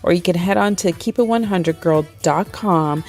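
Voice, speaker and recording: A woman's voice: lowish voice, moderate pace, soft background music. A woman hosting a podcast, reading her intro in a sassy, playful manner. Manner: sassy, playful, lively delivery. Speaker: podcast host. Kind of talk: upbeat scripted podcast intro.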